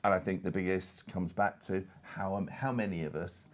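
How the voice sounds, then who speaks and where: A man's voice: deep voice, fairly even intonation, normal speed. A man speaking as a panel guest, giving candid, emphatic opinions in a conversational style. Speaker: panel guest, software advocate.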